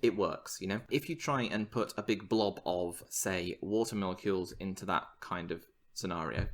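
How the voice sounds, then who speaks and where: A man's voice: low-pitched, moderate pace. A man co-hosting a podcast, explaining science news in a casual, enthusiastic way. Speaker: podcast co-host.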